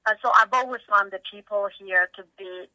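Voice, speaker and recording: A woman's voice: mid-range voice, fairly quick, pushed and bright, no room sound, dull audio. A middle-aged woman from Italy with an Italian accent, answering conversationally as an interview guest. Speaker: interview guest, company founder.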